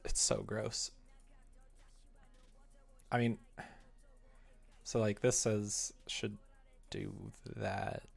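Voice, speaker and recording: A man's voice: lowish voice, big pitch swings, very slow, creaky, pauses often, faint music behind. A young man chatting casually on a livestream as he works, self-deprecating and muttering to himself, sometimes reading things out. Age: young adult. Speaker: live streamer, game developer. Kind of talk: casual livestream chat while working, reading comments aloud.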